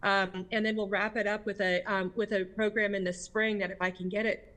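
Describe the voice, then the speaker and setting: An American woman with a mid-pitched voice speaks as an interviewee. She is conversational and candid, leaning on rhetorical questions and quick check-ins with the listener to press her points. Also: flat delivery, a bit bright. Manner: candid, emphatic, often adds "right?" and rhetorical questions. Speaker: interviewee, district governor of a service club.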